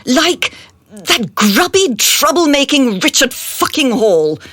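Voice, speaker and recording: A woman's voice: high-pitched, big pitch swings, takes it slowly, a bit bright, no echo, exaggerated voice. An actress playing a haughty, cold female boss in a radio drama, speaking in an imperious, condescending and theatrical way.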